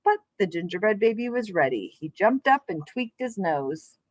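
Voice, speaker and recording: A woman's voice: medium pitch, very animated, normal speed, darkish tone, no echo, highs cut off. A woman speaking as a children's teacher, reading a storybook aloud and giving simple, encouraging instructions with check-in questions.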